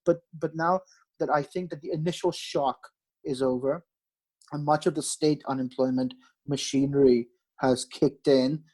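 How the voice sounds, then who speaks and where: Guy from pakistan, late 30s, warm dark voice, explains stuff with lots of restarts and ums. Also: medium pitch, breathy edge. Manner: explanatory, many restarts and fillers. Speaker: market strategist guest. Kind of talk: podcast interview answers.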